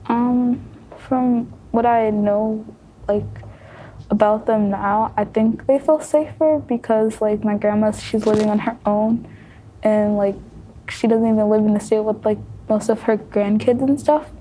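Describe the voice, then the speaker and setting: A young woman moderating a student panel, speaking hesitantly with many pauses. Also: mid-range voice, fairly even intonation, a little hiss or hum, poor audio. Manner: soft, hesitant, many ums. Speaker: student moderator asking questions. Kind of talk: casual panel discussion.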